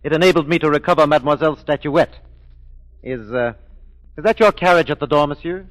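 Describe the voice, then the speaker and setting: A man playing a brisk, suave French police inspector with courteous insistence in a 1940s radio drama. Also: fairly high pitch, lightly acted, low-quality recording. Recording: vintage 1940s radio broadcast.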